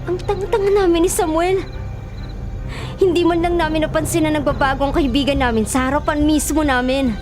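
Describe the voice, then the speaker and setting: A woman's voice: sits high, fairly even intonation, fairly quick, natural voice. A woman with a Filipino accent playing a distraught, defiant heroine fighting for her friends, in an emotional radio drama style. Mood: grim, regretful. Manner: emotional, intense, melodramatic. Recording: dramatic music underneath.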